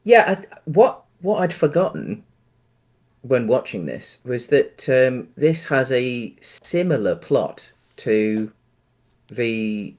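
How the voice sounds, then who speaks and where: A man co-hosting a casual film discussion, speaking in a halting, hesitant way with frequent ums. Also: medium pitch, big pitch swings, a little mellow. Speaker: podcast co-host.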